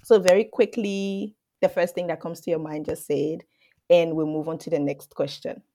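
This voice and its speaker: A woman's voice: sits low, fairly even intonation, moderate pace, mellow, slight background noise. A woman hosting a student podcast, upbeat and welcoming as she introduces her guests. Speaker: podcast host.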